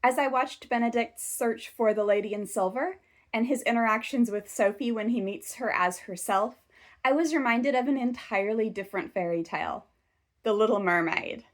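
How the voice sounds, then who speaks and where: A woman hosts a scripted commentary video. Her voice sits a little high, and she works through her points in a measured, analytical way. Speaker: video essay host.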